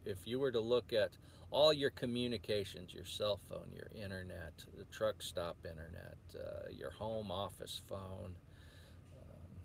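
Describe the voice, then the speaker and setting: A man with a fairly low, gravelly voice talks casually to his viewers. He meanders and doubles back on his sentences hesitantly, with clear background noise around him. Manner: hesitant, meandering, self-interrupting. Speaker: truck driver vlogger. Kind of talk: rambling casual chat to viewers.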